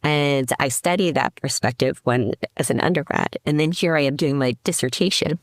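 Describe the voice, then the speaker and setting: A woman's voice: low voice, normal speed, no echo, clean studio sound. A middle-aged woman from the Seattle area, a podcast guest, telling her story in a reflective way with many restarts. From Seattle area, United States. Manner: reflective, with frequent restarts and fillers. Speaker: podcast guest, company CEO. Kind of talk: casual interview talk.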